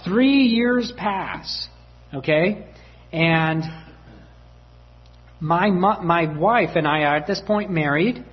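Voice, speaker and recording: Man, preacher, animated storytelling sermon, lively swooping pitch, muffled lo-fi audio. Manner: animated, acts out family dialogue with voices and laughs.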